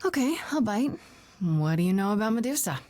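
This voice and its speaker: A woman's voice: medium pitch, big pitch swings, brisk, mellow, no room sound, lightly acted. A woman acting a determined young heroine in a video game, earnest and dry, with an understated natural delivery. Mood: curious.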